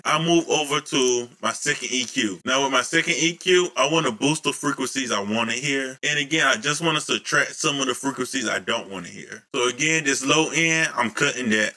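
A man in his thirties, a music producer, talking casually through his vocal mixing steps at home into a studio mic. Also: mid-range voice. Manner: relaxed, explanatory, slows down reading settings. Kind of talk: casual tutorial walkthrough. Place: home room. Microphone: studio condenser mic on boom, headphones on.

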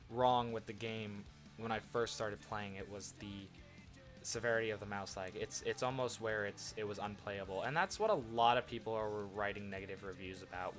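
A young man with a lowish voice sounds stuffed up from a head cold, his words thick and nasal. He rambles casually, restarting sentences and padding them with fillers. Guitar music plays faintly under his home desk-mic recording. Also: a bit bright. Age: young adult. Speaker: gaming channel host. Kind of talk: casual commentary over gameplay. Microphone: desktop USB microphone.

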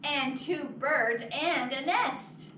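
A woman's voice: sits high, slow pace, bright, forceful tone, heavily acted. A woman voicing a cheerful, matter-of-fact little pig in a children's picture book, giving simple, spaced-out answers. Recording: echoey, noisy room, far from mic.

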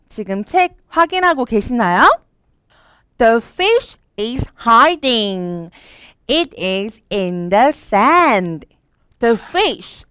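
A young woman teaching a storybook lesson at a very slow, careful pace. Her pitch is a little high and rises and falls a lot. Her voice is smooth and clear, recorded dry in a studio. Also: a bit bright, no echo. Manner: slow, clear reading of English lines with Korean explanations. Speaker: English teacher for children. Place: studio with a green screen.

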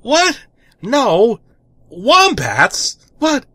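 A man's voice: very high voice, huge pitch swings, drawn-out words, pushed and bright, dry recording, studio quality, voice pushed up, exaggerated voice. A man voicing a timid, exasperated male superhero sidekick, stammering and apologetic, in a comic story reading. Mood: flustered.

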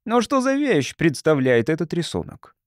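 Audiobook casting: man as mocking young monk, raised pitch, needling and falsely polite. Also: very animated, moderate pace, dry recording, clean studio sound. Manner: needling, feigned politeness, exclamatory.

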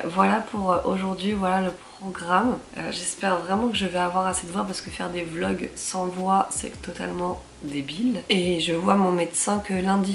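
A young woman vlogging from her living room, hoarse from a cold, chatty and self-mocking with lots of fillers. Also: fairly low pitch, slight background noise. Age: young adult. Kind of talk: casual vlog chat to camera.

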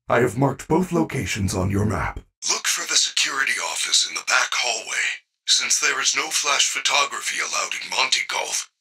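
A man's voice: medium pitch, vocal fry, pushed and bright, husky, far from the mic, full character voice. A man voicing a friendly, caring animatronic bear in a video game, speaking in formal, precise, earnest sentences. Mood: earnest, instructive. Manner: formal, precise wording without contractions, earnest. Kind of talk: video game character voice acting.

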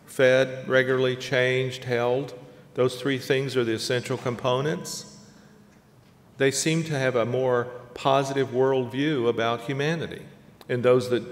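A man in his sixties giving a conversational keynote lecture from a lectern on a stage, listing examples in short phrases. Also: medium pitch. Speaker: keynote speaker. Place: large dark auditorium stage at a lectern. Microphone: lectern microphone. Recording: reverberant auditorium sound.

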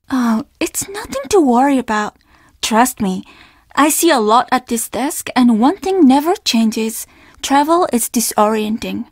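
A woman's voice: a little high, no room sound, studio quality. A woman voicing a courteous, reassuring young inn receptionist in a video game, eager to please. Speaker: voice actor playing an inn receptionist. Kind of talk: video game dialogue lines.

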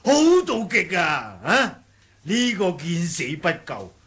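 An actor playing a brash, sarcastic eccentric of a martial sect in a Cantonese radio drama, blunt and teasing, with a gravelly, raspy voice and a Hong Kong accent. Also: male voice, on the high side, big pitch swings, sharp tone, full character voice. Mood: excited. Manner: blunt, teasing, theatrical, drawn-out phrases.

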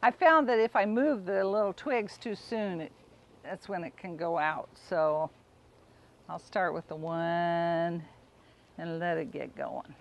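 A middle-aged woman giving a casual, unhurried cooking demonstration, explaining informally as she works outdoors. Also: fairly low pitch, vocal fry, highs cut off. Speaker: home cook demonstrating a recipe. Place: outdoors in a backyard garden.

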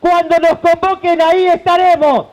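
A man's voice: very high voice, level tone, normal speed, poor audio. A man in his forties with an Argentine accent, a union leader giving an impassioned protest speech outdoors into a handheld mic. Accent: Argentine Spanish. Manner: impassioned, shouting at times, gesturing. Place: outdoor stage under a tent at a rally.

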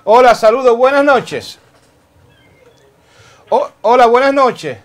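A Dominican man in his forties hosting a call-in TV show from a small studio, brisk and emphatic as he greets callers and directs his crew. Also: extremely high pitch, animated. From Dominican Republic. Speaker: TV talk show host taking calls. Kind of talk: call-in TV talk.

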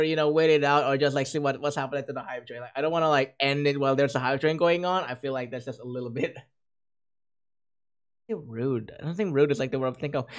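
A livestreamer chatting casually with viewers, voice hoarse and cracking from overuse, gushing thanks in an excitable, self-mocking way. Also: medium pitch. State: hoarse, losing voice. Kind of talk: casual stream chat with viewers.

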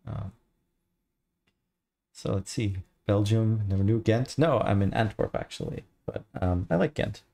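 Man, 30s, mixed Australian-Irish-American accent, gravelly, mellow tone, casual livestream chat. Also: on the low side, a little slow. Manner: relaxed, rambling, answers chat questions. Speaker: livestreamer, 3D artist. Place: home studio, indoors. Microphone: headset.

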